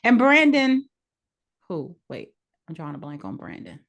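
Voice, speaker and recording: A woman's voice: fairly low pitch, wildly swooping pitch, moderate pace, a bit bright, dull audio. A woman in her forties co-hosting a podcast, talking in a frank, opinionated way and laughing easily. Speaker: podcast co-host. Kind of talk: casual chat.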